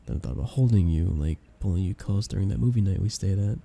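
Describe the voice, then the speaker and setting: A man's voice: low-pitched, expressive, fast, creaky, dark tone, exaggerated voice. A man playing a shy young guy confessing love to a best friend in an intimate ASMR romance roleplay, tender and hesitant. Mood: tender, earnest.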